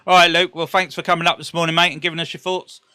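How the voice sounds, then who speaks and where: A middle-aged man hosts a phone-in with a bright, forward voice. The sound is dry and close to the mic. He chats casually and reassures callers, calling them mate. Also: a little high, level tone, quick pace, clean tone, dry recording. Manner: friendly and reassuring, says mate a lot. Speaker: radio show host. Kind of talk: casual football chat.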